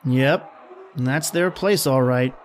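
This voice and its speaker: A man's voice: medium pitch, slow pace, some chatter behind, slight background noise, lightly acted. A man playing a grumbling, slangy young fiancé in an old-time radio drama, with a wry, exasperated manner. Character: grumbling wisecracking young fiancé dreading his boss-lady's party. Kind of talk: 1930s radio drama acting.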